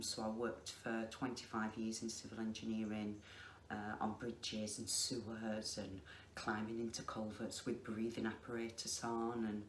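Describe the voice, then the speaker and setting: A middle-aged woman with a Lancashire accent, chatting casually to camera in a slow, deliberate way with many ums. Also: rumbling low. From England. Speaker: craft vlogger. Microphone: facing the camera. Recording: echoey room with faint noise.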